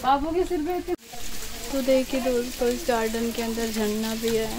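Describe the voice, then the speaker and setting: A hoarse woman with a sore throat hosting a casual travel vlog, chatting straight to her viewers outdoors on a phone camera. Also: a little high, fairly even intonation, normal speed, poor audio. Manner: chatty, addresses viewers directly. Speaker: vlogger host. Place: outdoor tourist garden in hot sun.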